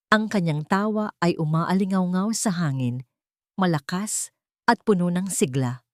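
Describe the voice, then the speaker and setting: A woman's voice: fairly low pitch, a little mellow, no echo, studio quality. A woman narrating a scripted dramatic love story as an audiobook narrator.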